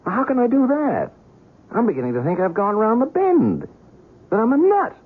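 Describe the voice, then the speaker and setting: A man's voice: low voice, big pitch swings, moderate pace, breathy edge, phone-quality sound, full character voice. An actor playing a shaken, skeptical and stubborn male reporter in an old-time radio drama, talking in a colloquial, pleading way. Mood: agitated, distressed. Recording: noisy, thin old radio recording.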